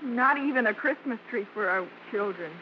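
A woman's voice: a little high, expressive, moderate pace, close to an everyday voice. An actress playing a tender, sentimental showbiz mother devoted to her family in a 1950s radio drama. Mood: regretful, worried. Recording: muffled vintage radio recording, noisy.

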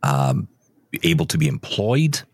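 A man's voice: rumbling low, sing-song, unhurried, breathy edge, dry recording. A man hosting a conversational interview, speaking emphatically and repeating phrases to stress his point. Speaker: show host interviewer.

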